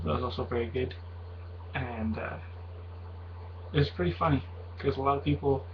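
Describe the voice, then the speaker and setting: A young man of Mexican heritage talking in a rambling, hesitant way in a casual vlog, with many fillers and self-corrections. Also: mid-range voice. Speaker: video blogger. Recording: slightly dull audio with faint background hiss.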